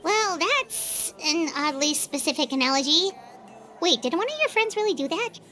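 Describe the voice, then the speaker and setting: A woman voicing a small, childlike, outspoken companion girl in a video game, squeaky and expressive. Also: moderate pace, faint music behind, heavily acted. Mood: puzzled indignation. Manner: expressive, exclamatory. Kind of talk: English game voice acting.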